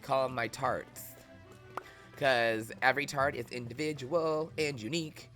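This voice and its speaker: Streamer type: man, New England accent, bright forceful tone, camp and profane. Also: medium pitch, fairly even intonation, a little slow, faint music behind. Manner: chatty, profane, playful, camp banter. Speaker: streamer playing a video game. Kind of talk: casual livestream chat with viewers.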